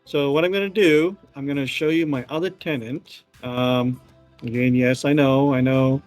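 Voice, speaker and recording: A man's voice: mid-range voice, steady tone, normal speed, darkish tone, faint music behind. A man from Malaysia co-hosting a casual tech show, talking loosely with many fillers while demoing his screen over a video call. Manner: chatty, many fillers, laughs easily.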